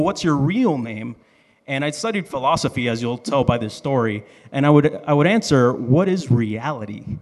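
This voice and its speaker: A man from the Dominican Republic and Miami telling a personal story on stage in an informal, joking manner. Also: on the high side. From Dominican Republic and Miami, USA. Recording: reverberant live hall.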